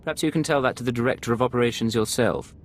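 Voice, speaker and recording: An actor playing a cool, defiant intelligence agent in a video game, terse and confrontational. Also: male voice, mid-range voice, rapid-fire, a little hiss or hum, natural voice. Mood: cool authority. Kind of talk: dramatic video game voice acting.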